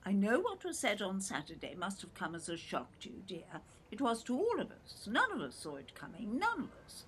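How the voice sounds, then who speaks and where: A woman's voice: medium pitch, wildly swooping pitch, fairly quick, fairly bright tone. An actress playing an imperious, talkative older English mother in an audio play, with a haughty, theatrical manner. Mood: domineering, dramatic. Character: imperious, talkative older mother, grandly aggrieved, gin-drinking. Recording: clear background noise, outdoor ambience.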